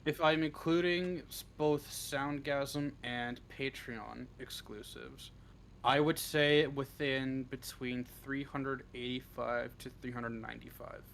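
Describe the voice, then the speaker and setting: Halting, casual man with mid-pitched voice. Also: steady tone, vocal fry, fairly bright tone, a little hiss or hum. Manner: halting, self-deprecating, casually sweary. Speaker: interview guest, script writer. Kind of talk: casual chat.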